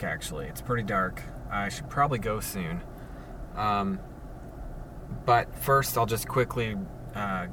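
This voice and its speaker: A low-voiced man talks in a flat monotone, halting often with ums and restarts as he rambles through a solo podcast from his parked car. His voice sits a little back from the mic, with a faint hum of noise behind him. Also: recorded from a distance. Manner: hesitant, halting, many fillers and restarts. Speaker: podcast host. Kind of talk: casual solo podcast monologue. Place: sitting alone in a parked car.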